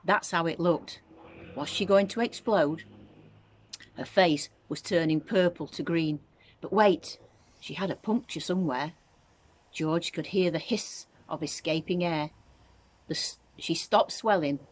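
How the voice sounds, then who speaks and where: A woman reading a children's story aloud as a teacher would, with expressive narration. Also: lowish voice, measured pace.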